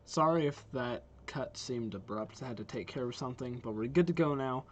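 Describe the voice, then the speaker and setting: A man's voice: medium pitch, brisk, slight background noise. A man hosting a casual reaction vlog, talking informally and enthusiastically, with some hesitation. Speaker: video host reacting to game trailers.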